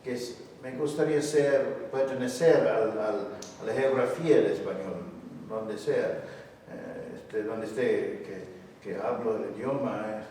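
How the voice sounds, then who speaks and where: A man whose first language is not Spanish answers questions after a reading, slow and full of false starts. His voice comes from a distance in a large, echoing hall with faint hiss. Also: medium pitch, darkish tone. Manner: slow and halting, with many restarts. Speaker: invited poet. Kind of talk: unscripted answers in a public talk.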